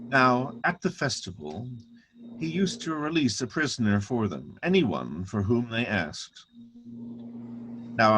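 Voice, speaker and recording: A man narrating a dramatic scripture reading with solemn, measured, deliberate phrasing. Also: medium pitch, fairly bright tone, slight background noise, low-quality recording.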